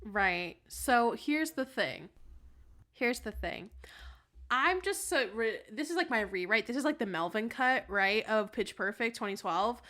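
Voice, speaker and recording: A woman in casual comic chat, talking in an animated, scattered, exclamatory way. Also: on the high side, measured pace. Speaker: podcast panelist.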